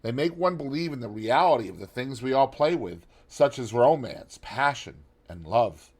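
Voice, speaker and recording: A man voicing a worldly, cynical aristocratic gentleman in an audiobook, with a drawling, epigrammatic manner. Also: mid-range voice, expressive, moderate pace, breathy edge. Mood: languid. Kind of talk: audiobook character reading.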